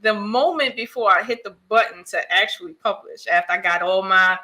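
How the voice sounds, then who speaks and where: A woman's voice: medium pitch, a little fast, pushed and bright. A middle-aged woman, a children's book author, chatting as a guest on a podcast and laughing often as she tells her story. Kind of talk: casual podcast chat.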